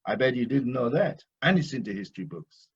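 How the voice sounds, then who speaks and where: An actor playing a proud, boastful Dutch painter in an audio play, grandiose and self-satisfied in manner. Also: male voice, medium pitch, sing-song, a little fast, slightly rough, lo-fi sound. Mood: smug. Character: pompous, boastful old-master Dutch painter, touchy and proud.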